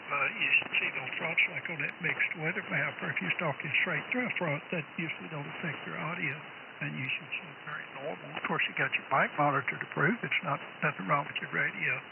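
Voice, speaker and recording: A man's voice: on the high side, gravelly, phone-quality sound. A man on ham radio explaining audio settings and band conditions in a rambling, advising way, heard through a noisy shortwave reception. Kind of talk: casual radio chat.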